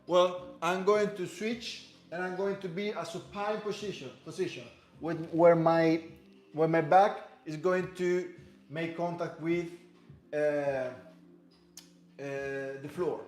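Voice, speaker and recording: Slow Spanish-accented man coaching workouts. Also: fairly high pitch, fairly even intonation. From Cuba. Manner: halting, self-correcting, encouraging. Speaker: fitness coach. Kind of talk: workout instruction. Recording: echoey room with music behind.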